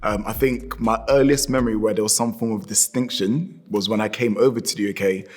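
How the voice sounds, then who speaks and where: Low-voiced man reflecting. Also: fairly even intonation, fairly quick. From born in Congo, grew up in North London. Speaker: interviewee. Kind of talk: reflective personal talk.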